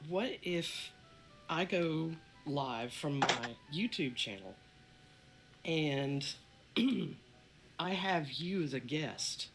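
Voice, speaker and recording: A speaker giving calm, agreeable replies in a casual video conversation. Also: mid-range voice, extremely slow, long pauses, music in the background.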